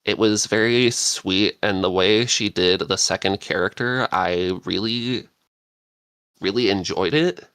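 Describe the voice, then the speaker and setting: Man, low voice, slow pace, casual, rambling, dry close sound. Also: fairly bright tone, clear, resonant tone, dry recording. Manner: rambling, searching for words. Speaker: podcast panelist and scriptwriter. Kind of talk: casual chat.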